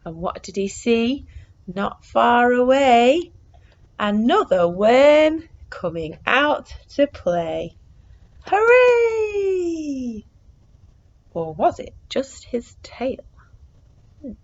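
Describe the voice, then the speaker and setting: A woman reading a picture book aloud to young children in an expressive way, moving between hushed suspense and excited exclamation. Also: fairly high pitch, extremely slow, pauses often, muffled recording.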